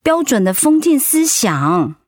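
A sympathetic woman confidante in a radio drama. Her fairly high voice swings with lively intonation as she draws the story out in a curious, conversational way. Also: unhurried, full character voice.

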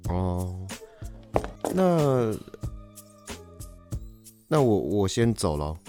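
An actor playing a refined, shyly smitten man who talks gently and hesitantly in a radio drama. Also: lowish voice, sing-song, drawn-out words, soft background music, heavily acted. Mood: shy, tentative. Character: refined, rational, shyly smitten male activist writer.

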